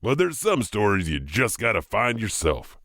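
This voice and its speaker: Guy narrating creepy legends, gravelly, swings up and down a lot, hammy tongue in cheek host. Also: fairly low pitch, big pitch swings, normal speed, fairly bright tone, no room sound, studio quality. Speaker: host and narrator of horror anthology podcast. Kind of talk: scripted spooky storytelling narration.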